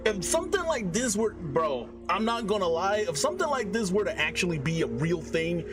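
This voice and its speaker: A young man giving casual reaction commentary, slangy and halting, often repeating himself. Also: high-pitched, very animated. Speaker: reaction video host. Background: loud background music.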